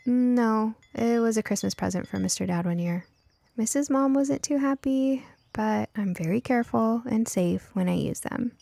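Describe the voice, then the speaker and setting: A woman's voice: on the high side, moderate pace, creaky, warm tone, dry recording. A woman voicing a curious, precocious young girl in a storybook, earnest and direct in manner. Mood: earnest, matter-of-fact. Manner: direct, matter-of-fact, earnest. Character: curious, eager, precocious young girl who loves tinkering. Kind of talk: acted audiobook dialogue.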